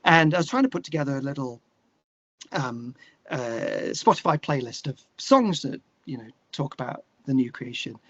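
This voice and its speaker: A man talking casually in an interview, opinionated and animated, thinking aloud and correcting himself as he goes. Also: mid-range voice, normal speed, no room sound, dull audio. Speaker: interview guest, musician and songwriter.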